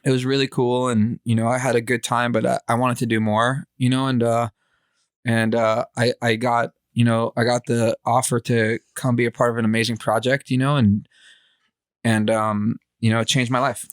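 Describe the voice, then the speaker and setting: A man's voice: mid-range voice, flat delivery, normal speed, dry recording, studio quality. A man, chatting casually as a podcast co-host in laid-back, slangy talk. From grew up in Los Angeles area, California, born in Israel.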